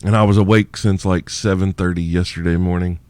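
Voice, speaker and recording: A man with a very low voice riffs through listener questions, crude and irreverent, rambling from one joke to the next. He sounds sleepy and worn out. The recording is dry and close, with no room sound. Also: steady tone, fairly quick, a little mellow, smooth, no echo. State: sleepy, tired. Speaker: podcast host. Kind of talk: crude solo Q&A podcast.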